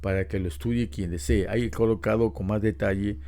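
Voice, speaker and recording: Mellow-voiced man teaching scripture. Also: lowish voice, steady tone. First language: Spanish. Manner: explanatory, unhurried, with rhetorical questions. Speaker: preacher. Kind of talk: Bible teaching talk.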